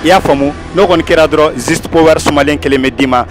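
High-pitched young man, music behind. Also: brisk. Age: young adult. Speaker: street interview host. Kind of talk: vox pop game hosting, casual. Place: outdoors in urban courtyards and streets. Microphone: small handheld lapel mic.

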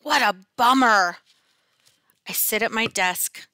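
Woman, slow deliberate pace, bright highish voice, dramatic picture-book narration, dry headset sound. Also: no echo. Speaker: teacher reading aloud. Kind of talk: expressive picture-book read-aloud. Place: small classroom, indoors.

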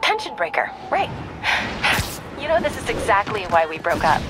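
An actress playing a fed-up young woman ex-girlfriend who talks sharp and sarcastic. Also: mid-range voice, sing-song, moderate pace, close to an everyday voice. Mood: annoyed. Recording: loud music score behind.

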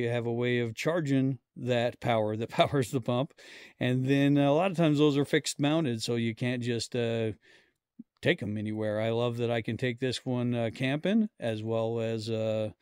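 A man's voice: mid-range voice, darkish tone, clear, resonant tone, no echo, clean studio sound. A middle-aged man talking casually and plainly to viewers as an outdoor vlogger, explaining things in an easygoing way. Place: outdoors in snowy woods.

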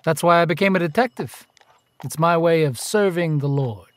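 An actor playing a self-righteous detective in a radio play, questioning in a clipped, insinuating way. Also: male voice, a little high, normal speed, lightly acted. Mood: needling, accusing. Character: probing, self-righteous male detective, needling a priest. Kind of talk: radio drama acting.

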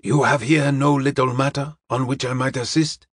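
A man's voice: medium pitch, fairly even intonation, normal speed, husky, no room sound, studio quality, close to an everyday voice. A man playing a courteous, wise Chinese detective in an audiobook, speaking formal, stilted English with dropped articles. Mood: calm, benevolent.

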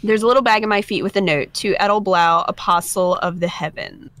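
A woman's voice: medium pitch, brisk, fairly bright tone, no echo. A young woman co-hosting a gameplay video, chatting casually and reading visual novel text aloud. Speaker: gaming video co-host.

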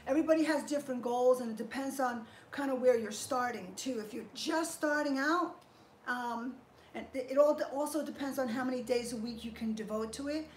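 A woman's voice: high voice, a little fast, a little hiss or hum. A middle-aged woman hosting a casual fitness livestream from her garage home gym, upbeat as she thanks viewers and explains her training step by step. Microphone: fixed camera at a few feet. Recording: echoey garage room.

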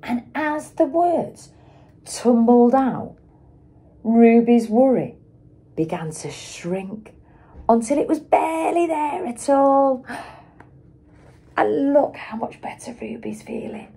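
Slow, very animated woman reading a storybook. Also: fairly high pitch, breathy edge. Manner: expressive, dramatic emphasis and pauses for young children. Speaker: schoolteacher reading aloud. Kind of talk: children's picture book storytelling.

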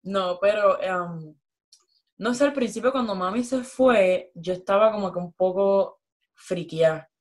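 A woman's voice: medium pitch, measured pace. A young Puerto Rican woman, a guest on a video call, chatting casually with many fillers, heard through a laptop mic at home. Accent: Puerto Rican Spanish. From Puerto Rico. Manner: chatty, lots of "como que". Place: room at home. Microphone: laptop webcam on video call.

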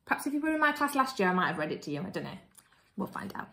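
A woman's voice: mid-range voice, big pitch swings, rapid-fire, sharp tone, echoey room, slight background noise. A woman in her thirties, a teacher, chatting casually to young children. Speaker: primary school teacher. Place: small living room at home. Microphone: phone or tablet at arm's length.

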